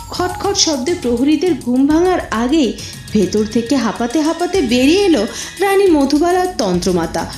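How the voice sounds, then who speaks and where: A woman's voice: high-pitched, a little mellow. A woman narrating a scripted cartoon story in a dramatic storytelling style. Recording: roomy echo with music behind.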